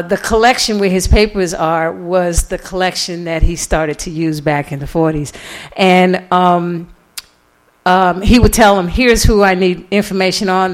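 Woman lecturer, emphatic and deliberate. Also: on the low side, clear, resonant tone. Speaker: author giving a public lecture. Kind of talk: lecture with audience questions. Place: public lecture event, live before an audience.

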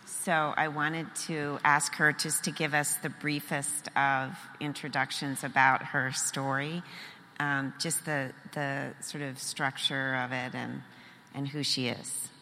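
Low bright-toned woman moderator. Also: level tone. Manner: unhurried, measured, some ums. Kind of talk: moderating a live talk. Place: large hall. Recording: reverberant hall with faint noise.

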